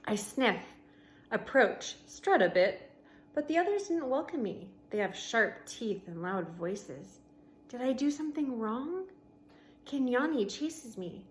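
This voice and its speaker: A woman with a fairly high voice reads a children's story aloud in the manner of a schoolteacher. She is expressive, pauses deliberately for drama and slips into casual explanation. A faint noise sits behind her in the recording. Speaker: teacher reading aloud to pupils. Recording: noticeable background noise.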